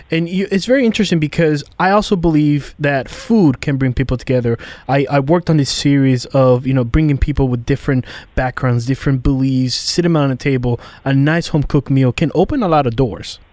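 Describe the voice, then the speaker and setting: A man hosting a conversational interview, with halting, repetitive phrasing. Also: mid-range voice, a little mellow, no echo. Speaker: interview host.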